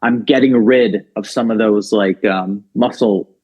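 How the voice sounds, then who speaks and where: A man's voice: lowish voice, a little slow, husky. A man who sings in a rock band, talking casually as a podcast guest, chatty with lots of fillers and restarts. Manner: talkative, many fillers and restarts, animated. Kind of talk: casual chat. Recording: dull, lo-fi sound.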